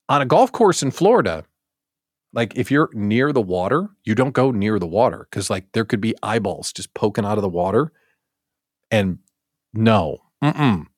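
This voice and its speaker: A man's voice: on the low side, husky, no room sound, studio quality. An American man hosting a casual live show, chatting playfully to his audience and repeating words for emphatic comic effect. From United States. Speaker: host of a daily live show for small business owners.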